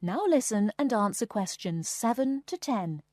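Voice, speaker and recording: A woman reading scripted listening-test instructions as an announcer in a measured, formal way. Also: a little high, expressive, a little mellow, no echo, clean studio sound. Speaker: exam announcer.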